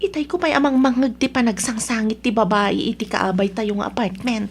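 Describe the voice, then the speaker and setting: Gossipy Ilocano housewife played by an actress, high but husky voice, sympathetic busybody in audio drama. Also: female voice, fairly quick. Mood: curious, pitying. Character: nosy, sympathetic wife eavesdropping on neighbours. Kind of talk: Ilocano radio drama acting.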